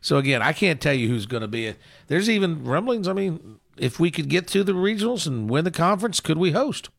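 Guy with some gravel in his voice, chatting sports, folksy and rambly, dry close recording. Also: on the high side, big pitch swings, a little fast, no room sound. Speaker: sports show co-host. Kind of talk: casual sports chat.

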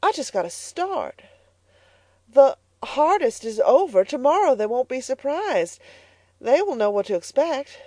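A woman reading the part of a proud, determined young farm girl, speaking high and earnest. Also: very animated, unhurried, a little mellow, dry recording, exaggerated voice. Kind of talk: audiobook character voice.

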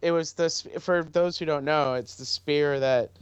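Man with a smooth voice on a dull mic. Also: fairly high pitch, moderate pace, no room sound. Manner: chatty, explains things loosely. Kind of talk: casual chat.

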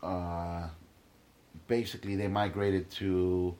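A young man from Guatemala, a stand-up comic, talks emphatically as a podcast guest in a fairly low voice. He swears freely and stumbles into quick restarts while making his points. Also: level tone, a little mellow. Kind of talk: casual podcast interview.

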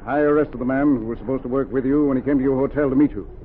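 A man's voice: medium pitch, racing, natural voice. An actor playing a decisive, cool-headed male undercover agent in a 1930s radio drama, on a tinny, noisy recording. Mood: calm, decisive.

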